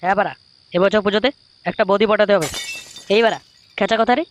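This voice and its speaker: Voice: mid-range voice, fairly even intonation, quick pace, a little hiss or hum, natural voice. A performer voicing a foul-mouthed, irritable young male cartoon buddy in a comic dub. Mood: brash, griping. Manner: crude, swearing, brash.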